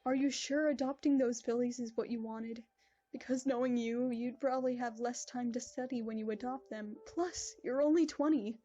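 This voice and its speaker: A woman plays a kindly princess in a cartoon drama, with a high, mellow voice. She speaks in a measured, formal manner, and the sound is dull and lo-fi. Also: fairly even intonation, close to an everyday voice. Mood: calm. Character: calm royal princess, kindly ruler.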